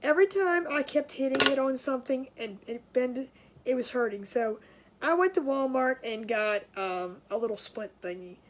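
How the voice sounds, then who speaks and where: A woman's voice: sits high, expressive, moderate pace, a little hiss or hum. A woman hosting a casual craft livestream from home, sounding nasal and congested, chatty and scattered, with frequent laughs and restarts.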